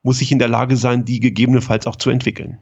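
German guest consultant, gravelly mid voice, measured and explanatory, dull lo-fi line. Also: male voice, mid-range voice, little pitch movement, darkish tone. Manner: thoughtful, explanatory, frequent hesitations. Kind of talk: conversational interview answers.

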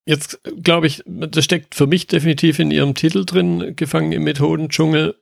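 Gravelly-voiced man hosting a podcast. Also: medium pitch, dry recording, clean studio sound. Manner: polished, formal, friendly interviewer. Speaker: podcast host. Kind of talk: scripted intro and interview questions.

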